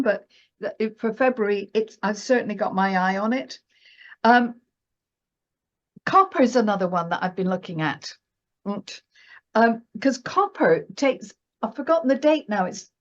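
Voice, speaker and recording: A woman with a fairly high voice talks casually as a guest analyst. She is chatty and often tags her points with a question seeking agreement. The audio is a bit dull and lo-fi. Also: animated, moderate pace. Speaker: guest financial astrologer and market analyst. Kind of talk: casual conversational market discussion.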